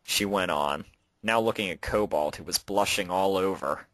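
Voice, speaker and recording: A man's voice: sits low, moderate pace, a bit bright, dry recording. A man reading out a story as its narrator, in a scripted storytelling style. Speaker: narrator and fan fiction author.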